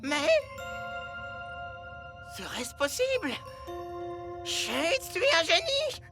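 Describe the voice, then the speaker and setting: Excitable high-pitched eccentric professor. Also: male voice, extremely slow, creaky, music in the background, full character voice. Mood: excited. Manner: theatrical, excitable, muttering. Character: eccentric, slovenly genius professor, easily frazzled. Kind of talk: French-dubbed cartoon acting.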